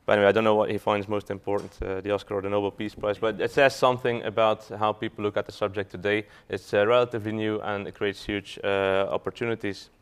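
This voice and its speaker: Belgian-raised guy giving an investment talk, lowish smooth voice, lots of uhs. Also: level tone, fast, hardly any pauses. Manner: hesitant, many fillers and restarts. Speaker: fund manager presenting. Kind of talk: business presentation to an audience.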